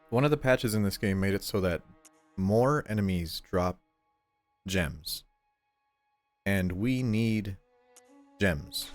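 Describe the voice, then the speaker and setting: Stuffy low-voiced guy chatting while he plays, clears throat a lot, soft music under it. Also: slow, pauses often. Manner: rambling, easily sidetracked, clears throat often. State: stuffed up, short of breath. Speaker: game streamer. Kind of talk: casual gameplay chat.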